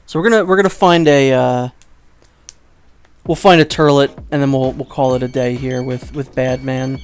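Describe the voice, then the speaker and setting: A man giving casual, rambling let's play commentary, second-guessing himself out loud and swearing offhandedly. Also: mid-range voice, fairly even intonation, moderate pace, smooth.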